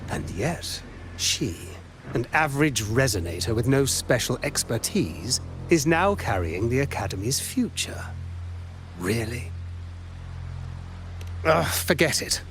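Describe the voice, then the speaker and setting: An actor voicing a blunt, resentful academy professor in a video game, grumbling candidly about the leadership. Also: male voice, medium pitch, sing-song, slow, long pauses, fairly bright tone, a little hiss or hum. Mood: resentful, dismissive.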